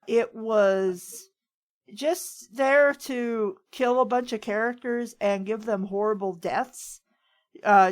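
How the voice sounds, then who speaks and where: A woman hosting a casual chat, talking in a rambling, opinionated storytelling way. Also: medium pitch. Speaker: host of a fan fiction reading show.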